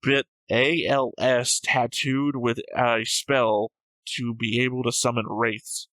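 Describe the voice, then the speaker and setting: Male co-host for casual analytical talk. Crisp-edged bright tone, close dry sound, methodical explainer who argues each point. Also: mid-range voice, flat delivery, slow, no room sound, poor audio. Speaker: reaction show co-host.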